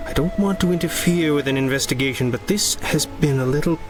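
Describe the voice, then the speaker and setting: An actor playing a clever, composed male hero in a 1930s-style radio adventure, measured and dryly understated. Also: on the high side, over music, close to an everyday voice. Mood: calm, commanding. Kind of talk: 1930s pulp radio drama acting.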